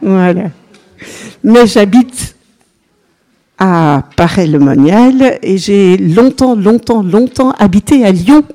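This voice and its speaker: An older woman with a Belgian French accent, a religious sister giving an informal, chatty teaching to a seated group and often pausing to address them directly. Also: mid-range voice, lively intonation, warm tone. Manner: chatty, unhurried, peppered with "voilà", engages listeners.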